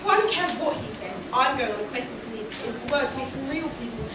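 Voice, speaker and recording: An earnest, petulant young prince acted by a woman declares a longing for adventure in pantomime style. The voice is high. It comes from far off in a very echoey hall. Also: lively intonation, fast talker, natural voice. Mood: defiant. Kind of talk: pantomime stage acting. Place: indoor stage of a small theatre. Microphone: distant audience camera. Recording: very echoey, noisy theatre hall, distant.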